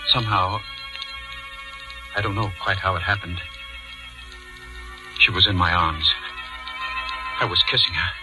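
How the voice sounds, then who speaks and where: Casting: distinguished middle-aged judge, low voice, intense and calculating, vintage radio thriller with music behind. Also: male voice, steady tone, measured pace, long pauses. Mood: reflective. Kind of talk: 1940s radio acting, first-person narration and dialogue. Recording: 1940s radio broadcast with music.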